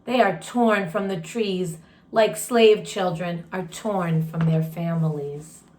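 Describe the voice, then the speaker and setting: A woman's voice: lowish voice, takes it slowly, darkish tone, a little hiss or hum. Woman reading as worried enslaved mother, roomy echo. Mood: grave, sorrowful. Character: enslaved mother, grave and worried for her children. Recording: roomy, echoing room sound.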